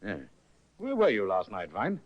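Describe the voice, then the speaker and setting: A skeptical, probing police inspector, clipped and questioning. His pitch is lively and rises and falls a lot. The sound is muffled like an old broadcast. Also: moderate pace.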